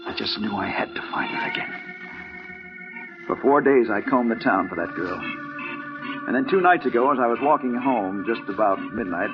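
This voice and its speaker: An actor playing a driven, hard-boiled male investigator in a vintage radio noir drama, urgent and clipped, telling his own story. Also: fairly low pitch. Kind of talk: 1950s radio noir acting with first-person narration. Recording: noisy, muffled vintage radio recording.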